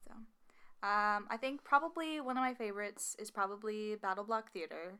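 A woman's voice: mid-range voice, moderate pace, clear, resonant tone. Chatty young woman co-hosting a podcast. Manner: chatty, animated, many fillers and laughs. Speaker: podcast co-host. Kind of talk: casual chat.

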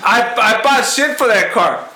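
A man's voice: very high-pitched, lively intonation, a little slow, sharp tone. A man in his forties chatting casually with friends, swearing a lot and teasing with laughs. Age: 40s. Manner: profane, teasing, laughs often. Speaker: podcast co-host. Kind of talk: casual banter among friends. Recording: echoey room with faint noise.

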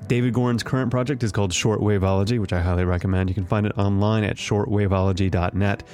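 A man's voice: lowish voice, fairly even intonation, fast, darkish tone, faint music behind. An American man hosting a radio show in a scripted narration style.

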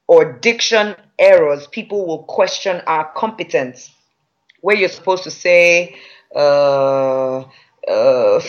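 Nigerian woman trainer, emphatic, repeats points for weight, slightly muffled call audio. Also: on the low side. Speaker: public speaking trainer. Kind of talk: masterclass teaching.

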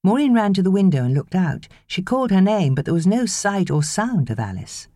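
A woman narrating an audiobook novel in an expressive, measured storytelling manner. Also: mid-range voice, dry recording, clean studio sound.

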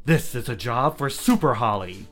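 A man voicing a feisty young superheroine woman in a read-aloud story, whiny and shrill. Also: lively intonation, normal speed, a bit bright, soft background music, natural voice. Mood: whiny, indignant.

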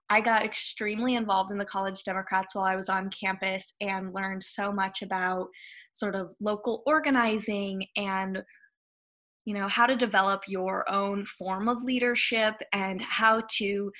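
A woman's voice: medium pitch, pushed and bright. A woman in her twenties with an American accent, giving conversational, reflective answers as a podcast guest. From Hawaii, United States. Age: 20s. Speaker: podcast guest, political science graduate.

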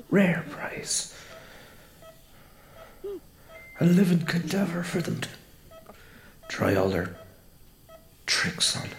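An actor playing a tormented, bitter injured fire officer in a radio drama, with a halting, breathy, gravelly delivery. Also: male voice, a little high, a bit bright, echoey room, full character voice. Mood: bitter, sardonic. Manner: halting, brooding interior monologue.

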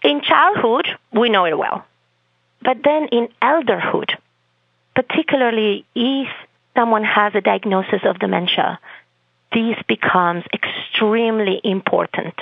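A woman's voice: a little high, a little slow, vocal fry, breathy edge, dry recording. Spanish-accented woman on a phone line. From Spain. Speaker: expressive arts therapist. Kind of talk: podcast interview. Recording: phone-line audio, dry.

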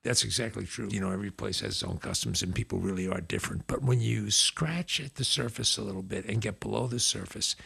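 Very gravelly, husky older man. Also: lowish voice, fairly bright tone. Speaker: podcast co-host, academic. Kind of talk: casual anecdotal chat.

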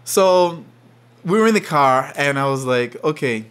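Animated man telling a story. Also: on the high side, a little slow, clear, resonant tone. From Saint Kitts. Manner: animated, laughs often, acts out quotes. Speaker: podcast guest. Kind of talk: storytelling in casual conversation.

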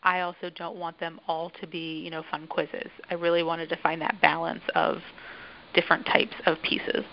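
A woman who writes for a museum, answering interview questions thoughtfully with many filler pauses. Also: on the low side, level tone, creaky, highs cut off, a little hiss or hum.

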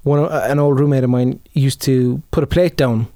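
A man's voice: mid-range voice, fairly even intonation, normal speed, warm tone, dry recording, studio quality. A young man co-hosting a podcast, joking around in easygoing casual chat. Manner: easygoing, jokey banter. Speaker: podcast co-host.

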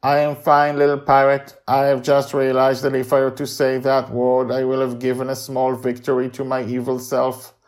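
A man voicing a formal, gentle machine companion in a story, speaking in stiff, precise, measured phrases. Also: mid-range voice, monotone, pitched above the performer's own voice, lightly acted. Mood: earnest, measured. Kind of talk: acted storytelling dialogue.

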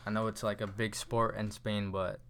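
A young man hosting a casual interview show, asking questions in a relaxed, conversational way. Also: fairly low pitch, moderate pace. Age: young adult. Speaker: host.

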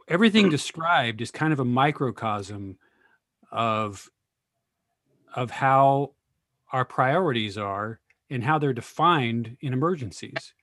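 A man's voice: mid-range voice, fairly bright tone, no room sound, clean studio sound. A man moderating a panel discussion, asking thoughtful questions and speaking frankly about politics. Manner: probing, thoughtful, politically outspoken. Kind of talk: post-reading panel discussion.

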